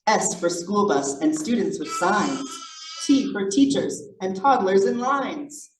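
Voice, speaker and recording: A person reading picture books aloud to children in an animated, encouraging way, with chatty asides. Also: medium pitch, slow. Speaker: drag storytime reader. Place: echoey indoor room, library event. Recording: roomy, noisy, distant mic.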